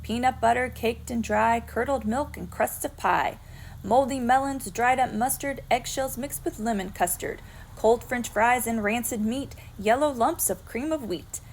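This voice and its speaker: Lady teacher reading rhyming poems for kids, expressive, voice a bit on the high side. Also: a little slow, slight background noise, poor audio. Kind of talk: children's read-aloud of rhyming poems.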